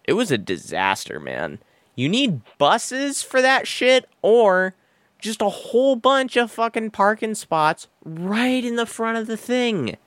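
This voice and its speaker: A man's voice: high voice, big pitch swings, slow, a bit bright, no room sound. A man co-hosting a podcast in casual, irreverent banter, joking and ranting with plenty of profanity and sarcasm. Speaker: podcast co-host.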